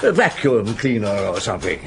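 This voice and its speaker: An actor playing a middle-aged police chief inspector in a radio drama, dogged but kindly, musing with gruff authority. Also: male voice, lowish voice, big pitch swings, fairly quick. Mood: brisk, instructing. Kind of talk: naturalistic radio drama acting.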